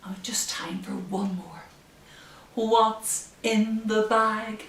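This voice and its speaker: A middle-aged woman presenting story time for young children, expressive and animated, in simple phrases addressed to little ones. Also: medium pitch, drawn-out words. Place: living room, indoors. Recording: roomy, distant camera microphone.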